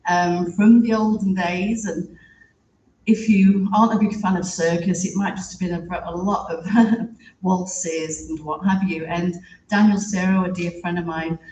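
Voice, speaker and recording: British man, high voice, echoey stage. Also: a little hiss or hum. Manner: halting, warm and reflective, with soft laughs. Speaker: eulogy speaker. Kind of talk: heartfelt spoken tribute. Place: theater stage. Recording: echoey room from a distance.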